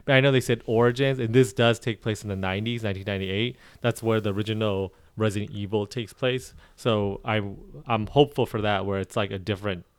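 Smooth-voiced man rambling on a podcast. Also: on the low side, fairly even intonation, a little fast, hardly any pauses, dry recording. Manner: rambling, self-correcting, many ums. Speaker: podcast co-host. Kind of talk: casual chat.

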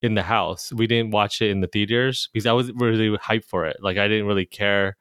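Guy podcast cohost, kinda low voice, casual movie chat, really dry sound. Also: fairly even intonation, brisk, fairly bright tone, clean tone, dry recording. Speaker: podcast co-host.

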